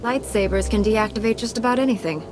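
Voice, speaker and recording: A woman plays a hard-edged female Jedi, cold and defiant. Her mid-pitched voice delivers short, dry game dialogue with a sardonic bite, over soft music. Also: fast talker, natural voice, low-quality recording. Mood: cold, confident. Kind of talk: video game cutscene acting.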